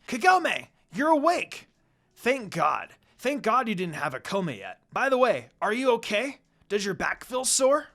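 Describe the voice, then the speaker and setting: A man performs a brash young half-demon from a fan story. He is hot-headed and argumentative, pitched high and very animated, exclaiming loudly. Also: unhurried, heavily acted. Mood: excited, relieved.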